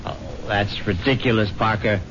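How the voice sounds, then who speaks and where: Actor as urbane, jittery husband, low-voiced. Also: male voice, steady tone, moderate pace, fairly bright tone, slight background noise, natural voice. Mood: exasperated. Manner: urbane, wry, fussy, hesitant. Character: wealthy, self-satisfied, jittery husband narrating his own tale. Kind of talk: 1970s radio drama acting and first-person narration. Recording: dull 1970s radio recording with music.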